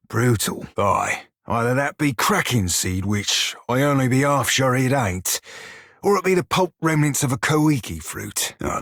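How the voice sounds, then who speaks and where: A man voicing a cheeky, foul-mouthed small furry pirate with a husky rasp and a salty pirate dialect, for a video game. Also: medium pitch, a bit bright, no room sound, clean studio sound. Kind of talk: video game character voice lines.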